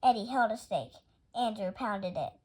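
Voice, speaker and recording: A woman reading a children's storybook aloud as the storytime narrator, in an animated style. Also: mid-range voice, normal speed, breathy edge. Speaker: storytime reader.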